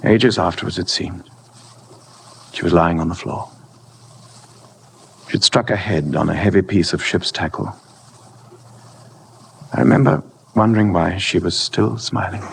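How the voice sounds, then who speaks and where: An actor playing an anguished, brooding husband in a 1950s radio drama, speaking gravely and haltingly. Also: male voice, deep voice, fairly even intonation. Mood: haunted, grim. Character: anguished husband confessing a killing, brooding and guilt-ridden. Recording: noisy vintage radio broadcast recording.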